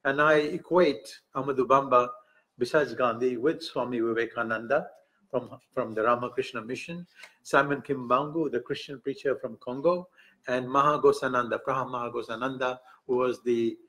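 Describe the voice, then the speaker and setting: A man from Tanzania giving a conference speech, halting and self-correcting, with light humor. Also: medium pitch, a bit bright, poor audio. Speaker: speaker at an academic book event.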